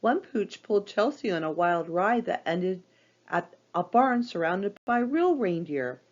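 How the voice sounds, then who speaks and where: A woman's voice: mid-range voice, animated, a little slow. A welcoming woman innkeeper from a children's storybook, put on by a female narrator. She speaks with jolly holiday cheer and a bouncy, wide-ranging melody. The recording is slightly lo-fi. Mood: cheerful.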